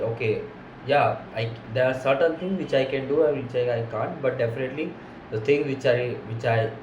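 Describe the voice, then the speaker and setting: A man with an Indian English accent and Hindi as his first language, practicing English phrases in a halting, casual vlog full of fillers and self-corrections. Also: mid-range voice, roomy sound, highs cut off, noisy background.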